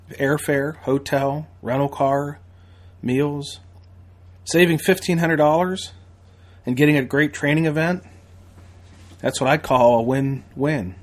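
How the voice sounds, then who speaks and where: Male, American, mid-pitched. Podcast host and instructor selling training in a folksy, emphatic monologue. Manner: emphatic, folksy sales delivery with repetition and rhetorical questions.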